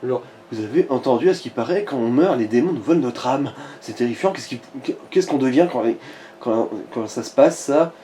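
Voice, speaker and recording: Male game commentator, relaxed dark tone, crude sardonic casual chat, some noise behind him. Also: medium pitch, fairly quick. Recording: noticeable background noise. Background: background noise.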